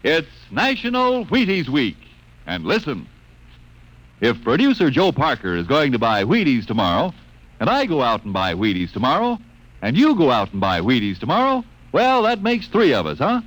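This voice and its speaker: A man works as a folksy sponsor's pitchman on 1950s radio. His medium-pitched voice swings widely up and down as he chats chummily at the listener. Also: fairly bright tone, rough recording. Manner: folksy, chummy sales patter.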